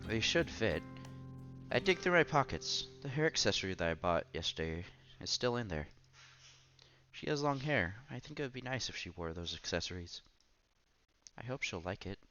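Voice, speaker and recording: A man's voice: lowish voice, big pitch swings, normal speed, pushed and bright, dull audio. A man playing an earnest, bashful young college student who narrates his own romance in a visual novel. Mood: eager, hopeful. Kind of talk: visual novel read-aloud. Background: music under the voice.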